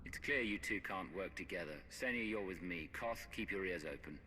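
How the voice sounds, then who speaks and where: An actor playing a calm, authoritative hero in a video game, speaking in a measured, terse and firm way. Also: male voice, deep voice. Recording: noisy game ambience.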